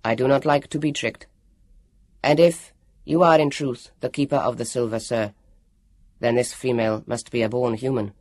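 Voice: medium pitch, steady tone, moderate pace, darkish tone, dry recording, pitched below the performer's own voice, lightly acted. A storybook narrator voicing a proud male android who speaks in a formal, precise and polite way.

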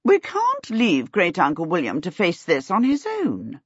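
A woman's voice: lowish voice, wildly swooping pitch, measured pace, slightly rough, dry recording, muffled recording, full character voice. A woman voicing a bossy, rich older aunt who gives commands in an audiobook. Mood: imperious. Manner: commanding, decreeing. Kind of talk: audiobook character voice.

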